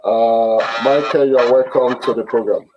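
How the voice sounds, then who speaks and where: A man hosting an online interview over a video call, speaking haltingly with many fillers and restarts. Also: medium pitch, steady tone, lo-fi sound, noisy background. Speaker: host.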